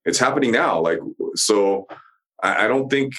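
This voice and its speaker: A man's voice: medium pitch, expressive, normal speed, a bit bright, low-quality recording. A man co-hosting a podcast, chatting casually about a TV show, blunt and emphatic with heavy swearing. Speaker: podcast co-host.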